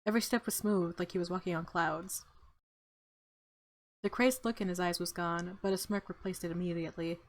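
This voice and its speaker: A woman's voice: lowish voice, steady tone, brisk. A woman let's player reading fantasy visual novel narration aloud, with casual asides.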